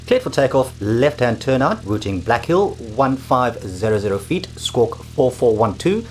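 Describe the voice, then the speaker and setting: A man playing a pilot, reading back clearances in scripted radio-call practice. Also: medium pitch, very animated, measured pace, a little mellow, slight background noise. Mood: procedural. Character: male pilot calling the tower.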